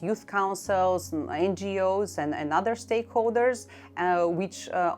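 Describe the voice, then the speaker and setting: A middle-aged woman from Bulgaria with a Bulgarian accent, explaining her work in a measured way and listing items one by one. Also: mid-range voice, darkish tone. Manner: measured, lists items one by one with short pauses. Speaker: EU youth coordinator. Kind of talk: explanatory talk to camera. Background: faint background music.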